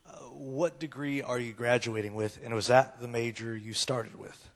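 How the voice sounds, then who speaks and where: A man's voice: medium pitch, steady tone, normal speed. A man hosting a student panel in front of an audience, loose and hesitant with many fillers. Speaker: host. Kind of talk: casual emcee Q&A.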